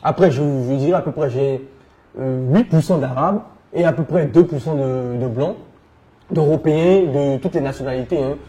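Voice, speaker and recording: A man with a West African French accent, answering conversationally as an interview guest, telling his experience in an animated way. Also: mid-range voice, moderate pace. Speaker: interview guest, entrepreneur and coach.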